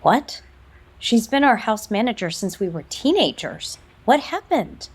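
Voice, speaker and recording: A woman's voice: on the high side, very animated, a little slow, breathy edge, heavily acted. A woman acting in an audio soap opera as a stern, critical older sister and company executive. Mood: surprised, questioning. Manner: firm, reproachful.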